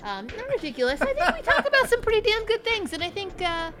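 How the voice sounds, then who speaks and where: A woman's voice: extremely high pitch, big pitch swings, fast talker, music in the background. A woman co-hosting a podcast in casual comedic chat, playful and brash. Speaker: podcast co-host.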